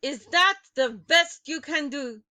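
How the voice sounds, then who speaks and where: A woman's voice: high-pitched, takes it slowly, sharp tone, no echo, studio quality, pitched above the performer's own voice, exaggerated voice. A woman voicing a furious, ancient female witch queen who screams and spits with rage. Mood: screaming rage. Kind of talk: audiobook character voice.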